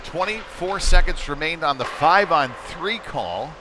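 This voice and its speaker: A man's voice: on the high side, lively intonation, unhurried. A man doing live play-by-play hockey commentary for a local stream, speaking in clipped running phrases as he follows the play. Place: indoor ice rink during a game. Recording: noisy live rink broadcast. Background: loud ambient arena noise.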